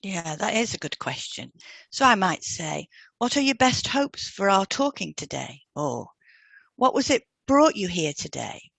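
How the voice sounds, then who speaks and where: A woman co-hosting a scripted podcast, reading out advice in a gentle, measured way as a therapist would. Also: medium pitch, big pitch swings, creaky, husky, dry recording. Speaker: podcast co-host and hypnotherapist. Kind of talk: scripted podcast advice with example client questions read out.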